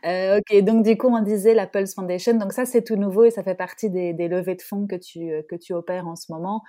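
Animatrice à la voix chaude et sourde. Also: female voice, mid-range voice, brisk, no room sound, clean studio sound. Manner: relaxed, frequent short acknowledgements. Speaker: podcast host. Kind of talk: casual interview.

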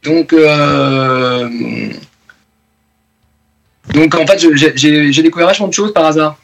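Man, forties, from France, musician guest, rambling casual talk, slightly high voice. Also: slow, muffled recording. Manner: chatty, loose, lots of fillers and restarts.

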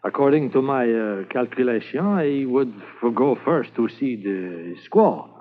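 A man plays a charming, fearless French Mountie, his French accent thick and his English halting, stumbling over words with many pauses. He holds a middle pitch. The sound is thin and phone-like, from an old radio transcription. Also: lively intonation, lightly acted. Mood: earnest. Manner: halting broken English full of "uh". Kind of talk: 1950s radio drama acting.

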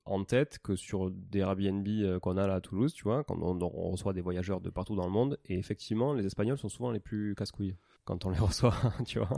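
Podcast host, man, low warm voice, dry close sound, easygoing questions. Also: brisk, creaky, few pauses, no echo. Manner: relaxed and conversational, with hesitant fillers. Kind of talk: casual podcast interview.

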